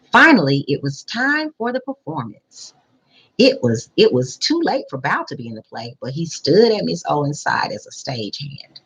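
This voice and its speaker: A woman reading a children's picture book aloud as a school principal, pausing to explain and put questions to young listeners. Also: a bass voice, a bit bright, a little hiss or hum, poor audio.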